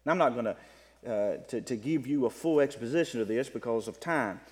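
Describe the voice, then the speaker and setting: A man preaching a sermon, teaching from the Bible in an emphatic way that swings between loud and soft. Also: medium pitch, a little fast. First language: English. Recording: long reverb of a hall.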